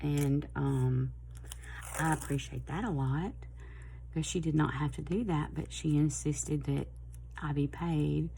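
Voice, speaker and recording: A middle-aged woman with a Southern US accent, chatting casually and a little hoarse, in a rambling, friendly way. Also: low-pitched, fairly even intonation, unhurried, warm tone, slight background noise, low-quality recording. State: slightly hoarse. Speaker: planner vlogger. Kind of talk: casual chat to camera.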